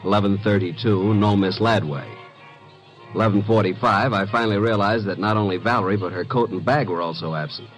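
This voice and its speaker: Wisecracking low-voiced radio detective's sidekick, played by a man. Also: fairly quick, faint music behind, close to an everyday voice, low-quality recording. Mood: wry narration. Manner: wry, breezy, slangy. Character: wisecracking, flirtatious detective's assistant who narrates the tale. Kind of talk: old-time radio detective drama acting with narration. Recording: vintage radio drama recording.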